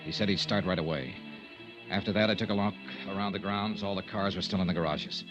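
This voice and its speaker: An American actor playing a steady, hard-boiled insurance investigator in a vintage radio noir drama. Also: male voice, deep voice, fast talker, highs cut off, over music, lightly acted. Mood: dry, matter-of-fact. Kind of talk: 1950s radio noir acting and narration.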